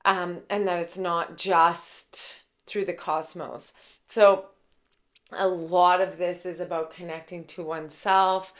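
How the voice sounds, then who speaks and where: A woman with a raspy voice giving a casual, chatty tarot reading, full of fillers and warm asides to her viewers. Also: fairly low pitch, flat delivery, slow pace. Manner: gushing, chatty, many fillers like "like" and "okay". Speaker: tarot reader and intuitive.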